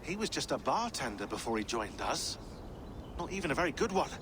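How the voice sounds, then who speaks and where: A wry, talkative man played by an actor explains things as a modern team expert in a game cutscene. His delivery is animated and conversational, with clear noise behind. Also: medium pitch, brisk, natural voice. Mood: intent, explaining. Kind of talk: naturalistic game cutscene acting.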